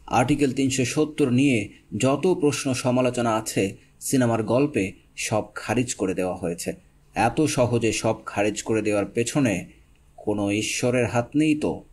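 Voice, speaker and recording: A man's voice: medium pitch, darkish tone, breathy edge. A man reading scripted, documentary-style voice-over narration. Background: background music.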